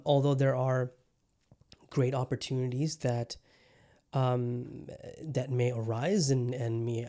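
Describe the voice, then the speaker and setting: A man's voice: medium pitch, mellow. A man hosting his own podcast in a casual solo chat, upbeat and rambling, often repeating his phrases. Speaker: podcast host.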